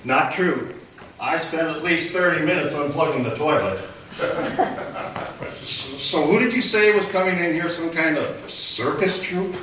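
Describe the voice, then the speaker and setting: A man acting out a grumbling, self-pitying station janitor who cracks jokes to dodge his work, speaking slowly with a bright edge. The voice comes from a distance across a very echoey hall, as in a live radio play on stage. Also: a little high, a little hiss or hum. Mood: wisecracking. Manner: sulky, wisecracking.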